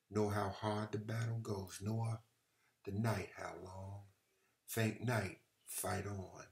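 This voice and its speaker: Low, gravelly man giving a political speech. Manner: measured, deliberate, emphatic phrasing with pauses. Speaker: congressman reading a speech. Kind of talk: formal political speech, oratory.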